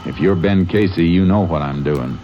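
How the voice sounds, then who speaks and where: A man's voice: deep voice, normal speed, close to an everyday voice. A man playing a tough lawman who narrates tersely in a 1950s radio drama. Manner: terse, steady hardboiled narration. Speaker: lead actor and narrator. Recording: muffled old radio broadcast with music.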